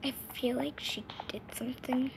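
A woman's voice: high voice, fairly even intonation, normal speed, vocal fry. A young woman improvising role-play narration over a video game in a playful sing-song manner, recorded on a phone microphone. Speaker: host of a gaming channel. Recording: noisy amateur phone screen recording.

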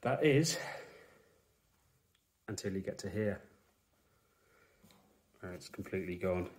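A man's voice: deep voice, lively intonation, slow. Man doing a dry garage walkaround. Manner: matter-of-fact, dry understated remarks. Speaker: car restorer, channel host. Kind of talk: walkaround commentary while filming. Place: indoor garage workshop.

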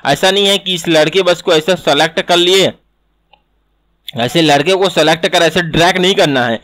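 A man with a slightly high voice narrates a software tutorial. He explains step by step, repeating each instruction as he goes. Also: moderate pace. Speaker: animation tutor. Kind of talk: screen-recorded software tutorial.

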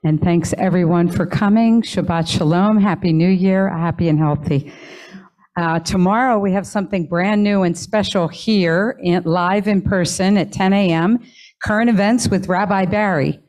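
A woman's voice: fairly low pitch, normal speed, a little mellow. A woman reading community announcements after a synagogue service in a friendly, chatty way, in a sanctuary. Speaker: congregation announcer.

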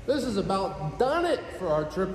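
A man's voice: sits high, big pitch swings, normal speed, darkish tone, soft background music. A young man chatting casually for a travel vlog on a handheld phone, mostly outdoors in town. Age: 20s or 30s. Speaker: vlogger. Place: mostly outdoors on city streets. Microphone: handheld phone at arm's length. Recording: noisy street ambience on handheld phone.

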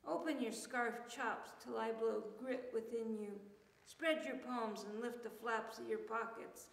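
Slow, dramatic woman reciting poetry. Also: a little high, fairly even intonation, vocal fry. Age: middle-aged. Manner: dramatic, emphatic. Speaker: poet reading at a poetry event. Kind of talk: poetry reading aloud. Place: church room indoors, group reading. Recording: echoey hall with long reverb.

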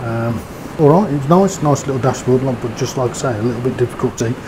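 A man's voice: mid-range voice, fast, noisy background. A man giving a casual, rambling motorbike review, with fillers and hesitations. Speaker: motovlogger.

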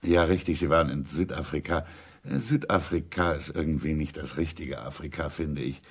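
An actor playing an urbane, authoritative intelligence chief in a German radio drama, speaking in a measured, deliberate way. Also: male voice, a bass voice, breathy edge, exaggerated voice. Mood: matter-of-fact, probing. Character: senior intelligence chief, urbane, matter-of-fact, authoritative older man.

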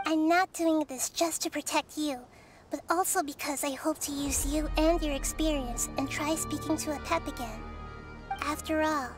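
A gentle, childlike goddess from an anime game, played by a woman. Her voice is very high, soft and girlish, and she speaks calmly and thoughtfully over faint music. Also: natural voice. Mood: calm, tender. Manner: thoughtful, calm, articulate. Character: gentle, wise, childlike young goddess girl. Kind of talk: scripted anime game dialogue.

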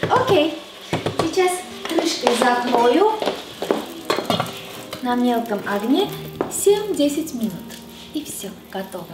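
Slow, chatty woman in an echoey kitchen. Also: fairly high pitch, very noisy. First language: Armenian. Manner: chatty, playful, slow and unhurried. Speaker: cooking show host. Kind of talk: casual cooking demonstration. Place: home kitchen, indoors. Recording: echoey kitchen with loud music bed.

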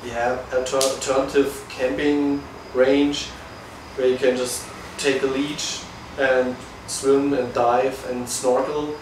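Relaxed man, distant and echoey. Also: mid-range voice, slow pace, a little hiss or hum. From Berlin, Germany. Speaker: guest. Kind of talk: casual interview. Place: living room of a guesthouse, indoors. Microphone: far camera. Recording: echoey room from far off.

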